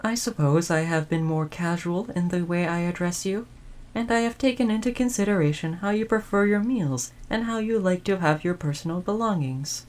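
A woman's voice: on the low side, a little mellow, natural voice. Woman playing a formal, caring android servant. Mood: earnest, reflective. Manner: formal, polite, measured phrasing. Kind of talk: audio roleplay addressed to the listener.

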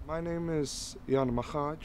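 A man's voice: a little high, a little slow, background noise. A teenage boy from the Czech Republic, an international student, speaking matter-of-factly in an outdoor interview. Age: teens. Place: outdoors on a lawn by woods.

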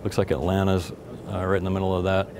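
Male interviewee, American, fifties, deep flat delivery, explanatory, noisy workroom recording. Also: fast talker. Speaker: air traffic official. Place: airport control tower cab. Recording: clearly noisy control room ambience.

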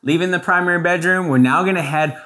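A man's voice: sits high, fast talker, pushed and bright. A young man giving a casual, enthusiastic guided tour of a house in an informal, playful manner. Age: young adult. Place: indoors, rooms of a large house. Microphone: lapel mic.

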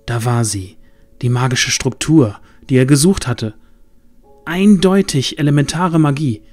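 A man's voice: medium pitch, a little slow, darkish tone, soft background music. A man narrating a scripted fantasy audio drama in a dramatic storytelling manner.

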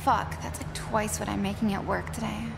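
A woman's voice: mid-range voice, flat delivery, moderate pace, over music. A woman playing a blunt, jaded young female mall worker in a visual novel game, sarcastic and exasperated. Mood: skeptical. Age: young adult. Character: sardonic, jaded teenage-to-twenties mall worker girl. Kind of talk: voiced visual novel dialogue. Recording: clear background ambience noise.

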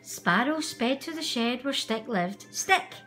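A woman reading a children's storybook aloud as an animated narrator, with music behind her. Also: fairly high pitch, unhurried. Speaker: storybook reader.

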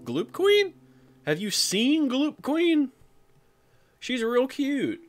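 A man talks casually on a live stream, reacting to chat and breaking into squeaky silly voices. His delivery swings widely in pitch. Soft music plays faintly underneath. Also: very slow. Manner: animated, jumps into high silly voices.

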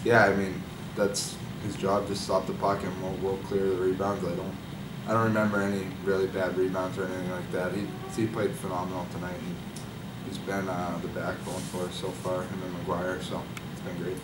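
A young man, a college hockey player, answering post-game press questions in a relaxed, halting way with many fillers. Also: sits low. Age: young adult. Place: press room with backdrop. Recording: echoey room, far mic, loud hum.

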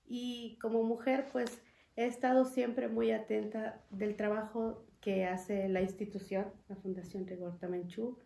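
A woman's voice: mid-range voice, little pitch movement, vocal fry, mellow. Earnest young woman in an echoey studio. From Mexico, Chiapas. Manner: earnest, measured, frequent "eh" fillers. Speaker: interview guest, foundation representative. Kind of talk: conversational interview. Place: studio room with wood panels and glass. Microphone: desk mic on stand. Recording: echoey room.

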